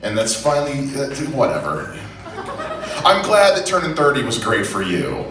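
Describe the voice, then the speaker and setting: Self-deprecating comic, thirty, roomy stage sound. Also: male voice, mid-range voice, huge pitch swings, normal speed. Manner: self-deprecating, rambling with fillers and restarts. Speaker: stand-up comedian. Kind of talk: stand-up comedy set. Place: comedy club stage. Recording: roomy, distant, faint noise.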